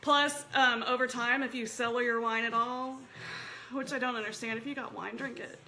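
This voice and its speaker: A woman's voice: a little high, steady tone, moderate pace, pushed and bright, poor audio. A woman hosting a casual wine-tasting chat, talking in a rambling, friendly way with frequent laughs and asides. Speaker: show host.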